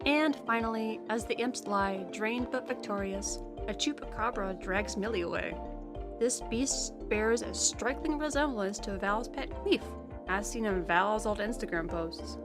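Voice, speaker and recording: Chatty young woman over clear music. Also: mid-range voice, a little slow. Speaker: co-host. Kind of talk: scripted recap commentary.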